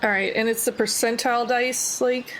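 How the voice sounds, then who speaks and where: A woman's voice: a little high, steady tone, moderate pace, a bit bright, a little hiss or hum, rough recording. A woman playing a tabletop game, chatting casually and tossing in playful quips.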